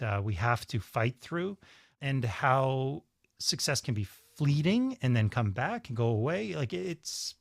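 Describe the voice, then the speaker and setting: A man hosting an interview show, speaking earnestly and effusively, with animated asides. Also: medium pitch, no room sound, studio quality. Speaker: podcast host. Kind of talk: casual interview conversation.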